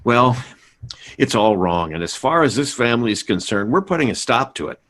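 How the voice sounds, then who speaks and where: A man's voice: medium pitch, sing-song, normal speed, a bit bright. An actor playing a stubborn, idealistic middle-aged American father in a 1950s-style radio sitcom, emphatic and given to stammering. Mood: firm, declaring. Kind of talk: 1950s radio sitcom acting, performed by players.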